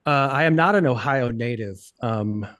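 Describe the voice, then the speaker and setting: A man in his forties from the United States, talking as a podcast guest. He speaks in a relaxed, meandering way, filled with "uh" and "um", at a plain middle pitch. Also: moderate pace. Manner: relaxed, rambling, many fillers. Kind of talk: casual interview chat.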